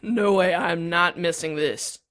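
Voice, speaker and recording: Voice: mid-range voice, unhurried, fairly bright tone, close to an everyday voice. A performer playing an eager, cheeky eleven-year-old boy in an audio drama, talking matter-of-factly.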